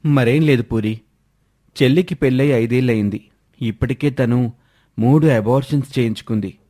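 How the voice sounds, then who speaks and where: A man's voice: medium pitch, moderate pace, dark tone, lightly acted. A man voicing an earnest young husband in a story, explaining himself seriously. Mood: earnest, hesitant. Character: earnest, apologetic young husband devoted to his sister.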